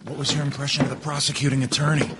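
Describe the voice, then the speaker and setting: A man's voice: on the high side, flat delivery, rapid-fire, noisy background, close to an everyday voice. A man playing an earnest, admiring, chatty juror in an audio stage drama, recorded in a reverberant hall. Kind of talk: stage radio drama acting.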